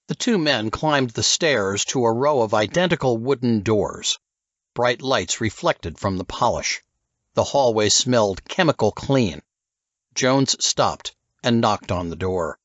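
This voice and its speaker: A man's voice: on the low side, fairly bright tone, no echo, dull audio. A man reading a novel as scripted narration, telling the story as an audiobook narrator.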